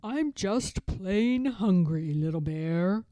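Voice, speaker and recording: A woman voicing a gentle old grandfather bear from a children's storybook, speaking fondly and with emphasis. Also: medium pitch, lively intonation, slow pace, dry recording. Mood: warm, emphatic.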